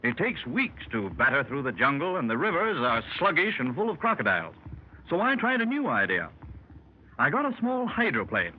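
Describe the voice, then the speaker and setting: A man's voice: a little high, wildly swooping pitch, moderate pace. An actor playing a learned middle-aged explorer, telling his tale to fellow club members in a formal, old-fashioned way, in 1930s radio drama style. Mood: measured. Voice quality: formal, clubby storyteller. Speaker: explorer recounting his expedition. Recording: muffled hissy 1930s radio transcription.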